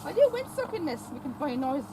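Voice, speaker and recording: A woman with a high voice stands outdoors in a stud farm paddock, cooing at a mare. She repeats herself and trips over words as she chats. Wind and farm sounds come through clearly around her camera audio. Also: big pitch swings, quick pace, mellow, rough recording. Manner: repeats phrases, stammers on words; coaxing baby talk to the mare. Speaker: vlogger visiting a stud farm. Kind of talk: casual talk to a horse and companion. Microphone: camera held by companion.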